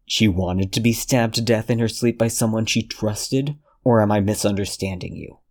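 A man voicing a cold, controlled detective who speaks in a measured, menacing way. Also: on the low side, a little mellow.

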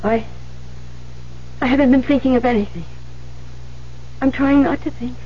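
An actress playing a meek, troubled young nun in a 1970s radio drama, hesitant, stammering and earnest. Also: female voice, high voice, moderate pace, natural voice. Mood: sorrowful. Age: young adult. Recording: dull, noisy 1970s radio recording.

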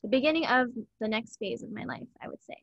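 A woman's voice: fairly high pitch, fast, no echo, highs cut off. A young woman from Hawaii co-hosting a podcast in casual chat, hesitant and searching for words, then gushing. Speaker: podcast co-host.

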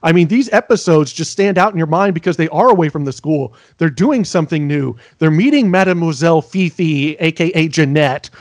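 A man's voice: fairly high pitch, lively intonation, a little fast, few pauses, no room sound, studio quality. A man co-hosting a podcast, laughing often and bantering playfully about a TV episode. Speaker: podcast co-host. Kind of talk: casual recap chat.

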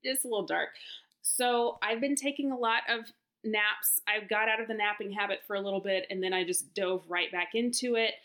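A woman's voice: mid-range voice, steady tone, fairly quick, sharp tone. A woman in her thirties co-hosts a casual teachers' podcast. She chats freely, tells stories and slips in jokes. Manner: chatty, jokey storyteller. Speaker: podcast co-host. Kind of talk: casual chat.

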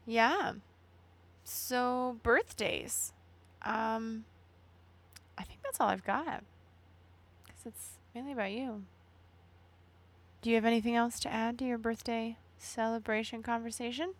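A woman's voice: a little high, steady tone, slow, pauses often. A young woman co-hosting a podcast, upbeat and laughing often as she steers the chat with questions. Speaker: podcast co-host. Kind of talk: casual chat.

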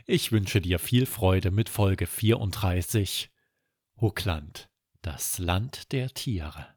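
A man reading a scripted children's bedtime story as narrator, with expressive storytelling. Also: low-pitched, slow pace, no echo, clean studio sound.

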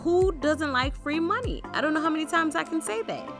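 A woman's voice: high voice, animated, fairly quick, over music. A woman reading a sponsor promotion for her podcast in an enthusiastic, salesy way. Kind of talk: upbeat sponsor read.

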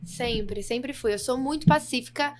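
A woman's voice: high-pitched, unhurried. A young woman from the São Paulo interior, a podcast guest telling candid stories in casual chat, laughing easily. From interior of São Paulo state. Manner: candid, laughing, lively storytelling. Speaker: podcast guest, reality show participant.

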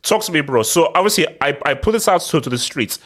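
A man's voice: a little high, expressive, fast, fairly bright tone. A man hosting casual football chat, teasing his friends and steering the talk. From Nigeria.

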